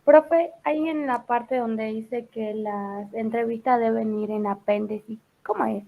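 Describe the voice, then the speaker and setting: A woman's voice: a little high, steady tone, unhurried, gravelly, dark tone. A woman student asking the teacher a question about her assignment. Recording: tinny phone-like call audio.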